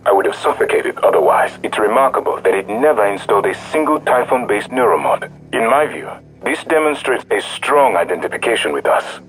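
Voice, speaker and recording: An actor playing a thoughtful, hopeful scientist in a video game, with a precise, analytical way of talking. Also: male voice, lowish voice, animated, brisk, a bit bright, rough recording. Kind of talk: video game cutscene dialogue.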